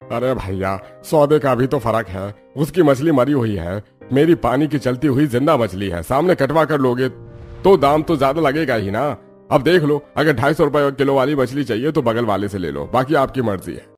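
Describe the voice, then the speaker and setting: A man's voice: mid-range voice, animated, moderate pace, faint music behind, close to an everyday voice. A man voicing a plain-spoken market trader in a cartoon story, matter-of-fact. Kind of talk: Hindi cartoon story acting.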